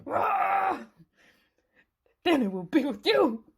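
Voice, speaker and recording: A woman's voice: very high-pitched, very animated, slow pace, voice pushed up, heavily acted. A woman voicing a mighty, wrathful male hero in a dramatic, indignant Bible storybook dramatization. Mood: furious, anguished.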